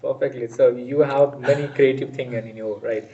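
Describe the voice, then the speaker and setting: A man's voice: mid-range voice, steady tone, moderate pace, mellow. A man interviewing a guest, encouraging and polite, adding frequent short affirmations and calling him "sir". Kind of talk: casual interview. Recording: dull audio with faint background noise.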